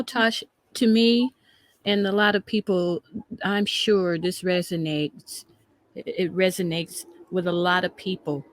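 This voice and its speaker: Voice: mid-range voice, slight background noise, poor audio. A co-host giving conversational reaction commentary, speaking emphatically and repeating phrases for weight.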